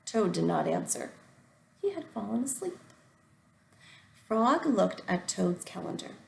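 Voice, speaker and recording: Lady teacher reading a kids book, slow and lively, bit creaky, echoey room. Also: mid-range voice, a little hiss or hum. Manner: explains simply to children, with deliberate phrasing. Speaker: first-grade teacher. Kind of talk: reading a storybook aloud to young children.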